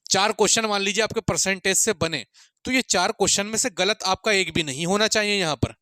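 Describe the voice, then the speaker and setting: A man's voice: high-pitched, brisk, sharp tone, dry recording, studio quality. A man giving an informal exam-prep lecture, repeating his points and often checking in with students in a friendly way.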